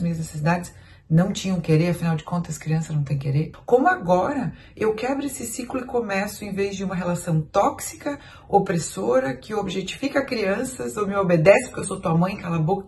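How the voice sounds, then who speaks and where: A woman's voice: on the low side, expressive, brisk, a little mellow. A woman with a Brazilian Portuguese accent, a parenting educator explaining her views earnestly in a podcast discussion.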